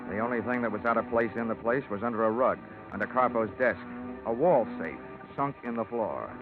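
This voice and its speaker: A man's voice: medium pitch, a little fast, lightly acted. Wry hard-boiled investigator played by a man, tinny recording. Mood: narrating, brisk. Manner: dry, sardonic, hard-boiled. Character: wry, tough, self-assured male insurance investigator. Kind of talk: hard-boiled radio narration and dialogue. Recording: tinny old radio recording with music.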